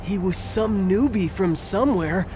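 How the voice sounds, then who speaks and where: An actress playing a rattled, gossipy monster spectator in a video game, recounting things conversationally. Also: female voice, medium pitch, expressive, measured pace, music in the background, natural voice. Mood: puzzled. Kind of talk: video game cutscene acting.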